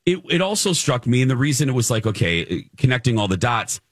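Animated man chatting, very lively pitch. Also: no echo, clean studio sound. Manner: animated, effusive, halting and repeating himself. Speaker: radio morning show host. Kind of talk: casual chatty radio talk with personal anecdotes.

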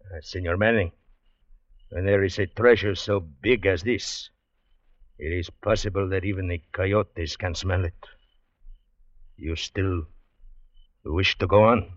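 An actor playing a watchful, cautious Mexican man with a Mexican accent and deliberate phrasing in a 1940s radio drama. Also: low voice, fairly even intonation. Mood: grave. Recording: dull vintage 1940s radio recording.

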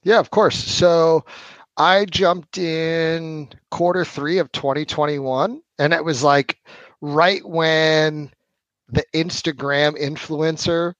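A man in his forties, a podcast guest, telling his story in a relaxed, casual way with lots of fillers. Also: a little high, takes it slowly, no room sound, muffled recording. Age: 40s. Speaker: podcast guest, marketing executive. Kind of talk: casual interview chat.

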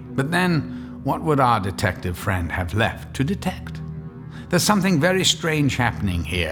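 An actor playing a sly, sinister male horror-show host who teases the listener in a mocking tone. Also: lowish voice. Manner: mocking, teasing, drops into a whisper. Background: music.

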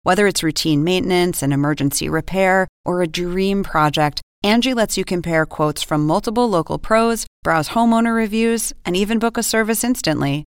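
Woman doing an ad read, crisp voice, really dry close mic. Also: fairly low pitch, moderate pace, few pauses, no echo. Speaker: advertisement announcer. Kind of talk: scripted podcast ad read.

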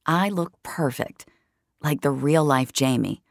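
An introspective young VR regular tells their own story in a confiding tone. The voice sits mid-range with a noticeable creak. Music plays underneath this first-person audio drama narration. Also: medium pitch, takes it slowly, dry recording, studio quality. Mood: reflective. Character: introspective young VR social-space regular named Jamie.

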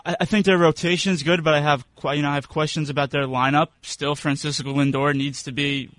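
A young man, a radio producer, giving easygoing, measured sports opinions. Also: on the high side, little pitch movement, a bit bright. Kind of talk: casual sports chat.

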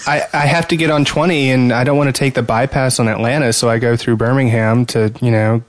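A man's voice: mid-range voice, steady tone, fairly quick. A man co-hosting a podcast, bantering casually and teasing his friends. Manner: joking, teasing. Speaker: podcast co-host.

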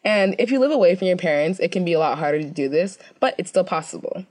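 A young woman with a slightly low voice co-hosts a casual talk video. She tells stories in a loose, chatty way, leaning on "like" and "you know". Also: fast, darkish tone. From United States. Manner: chatty, filler-heavy storytelling with many "like"s. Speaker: co-host.

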